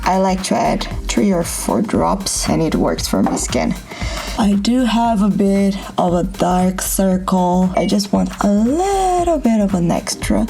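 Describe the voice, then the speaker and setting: A nineteen-year-old woman from Brazil chats casually about her skincare, her voice rising and falling a lot. She speaks slowly and unhurriedly, with music playing clearly behind her. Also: medium pitch. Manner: expressive, exclaiming often. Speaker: student vlogger.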